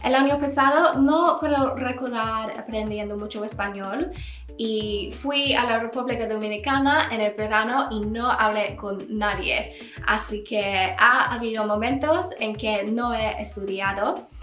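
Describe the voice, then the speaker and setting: A chatty English woman with a fairly high voice, talking in her learned second language with an English accent and the odd learner slip. She laughs easily between answers. The sound is roomy and echoey, with faint music underneath. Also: a little slow, fairly bright tone. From England. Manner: chatty, laughs often, learner slips in grammar. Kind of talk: casual Q&A vlog.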